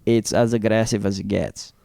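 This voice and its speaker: A young man from Brazil co-hosting a comedy podcast, full of animated storytelling and casual swearing. His voice is mellow and dark, recorded close and dry with no room sound. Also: fairly low pitch, normal speed, gravelly, no room sound. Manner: animated, swearing, acts out quotes. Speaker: podcast co-host.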